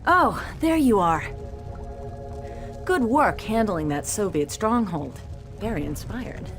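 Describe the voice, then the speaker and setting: A woman playing a serious, steady resistance leader, giving earnest, controlled mission briefings in a video game. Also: medium pitch, slow, over music. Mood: businesslike.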